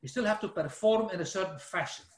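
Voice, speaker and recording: A man's voice: sits high, lively intonation, fast talker, echoey room. A man from Belgium with a Flemish accent, presenting a technical webinar in a loose, explanatory way with self-corrections. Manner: informal and explanatory, with self-corrections and "let's say" fillers. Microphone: screen-shared webinar, speaker not seen.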